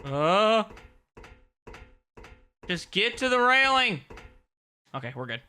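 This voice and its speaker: A young man streams a horror game in casual, joking commentary, muttering to himself and swearing at the controls. His voice is bright and fairly high for a man. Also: very animated, slow, clean tone. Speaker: gaming streamer. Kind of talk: casual let's-play commentary.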